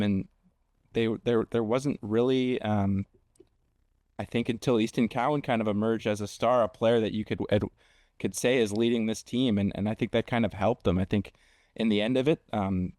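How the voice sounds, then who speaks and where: Male sports podcast host, lowish voice, casual and halting, thinking out loud, dry close sound. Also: no room sound. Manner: halting, thinks aloud, repeats words. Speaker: podcast host interviewing a guest.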